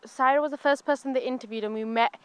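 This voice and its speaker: A woman's voice: high-pitched, fast, clean tone, no echo. An eighteen-year-old woman from Bradford, explaining eagerly and fluently in an interview. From Bradford, England. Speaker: interviewee, stage performer. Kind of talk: casual interview chat.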